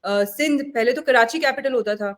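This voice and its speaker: Higher-pitched Indian woman interview host. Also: moderate pace. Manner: mixes Hindi-Urdu with English words. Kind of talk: conversational political interview, long questions.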